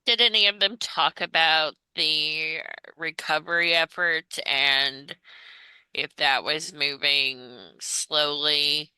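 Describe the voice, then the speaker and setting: A woman interviewer with a low, very bright and forceful voice asks questions at a slow pace. Her phrasing is measured and a little hesitant. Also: steady tone, clear, resonant tone, no room sound, rough recording.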